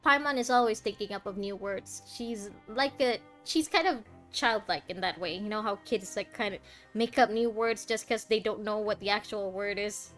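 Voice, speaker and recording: A woman's voice: mid-range voice, normal speed, a bit bright, faint music behind. A woman giving casual, rambling live commentary as she plays a video game. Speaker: game streamer.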